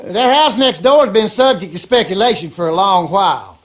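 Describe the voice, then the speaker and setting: An actor playing a gossipy, superstitious backwoods husband in a video game, with a rural Louisiana accent and folksy, colloquial talk. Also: male voice, high-pitched, lively intonation, moderate pace. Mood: confiding. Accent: rural Louisiana Southern American. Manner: folksy, drawling, colloquial storyteller. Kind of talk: animated game character dialogue.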